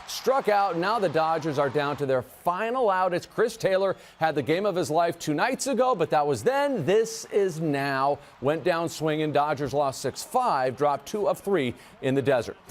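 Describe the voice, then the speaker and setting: An American man with a fairly high voice anchors a television sportscast. He narrates highlights from a script in short, punchy phrases. His delivery is playful and built for broadcast. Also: lively intonation, normal speed, a little hiss or hum.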